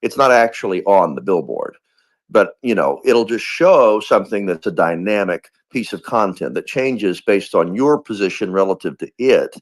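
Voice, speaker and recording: A man's voice: fairly low pitch, expressive, moderate pace. A man in casual chat in a live audio room, talking in rambling bursts with many restarts. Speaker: guest speaker in a live audio space.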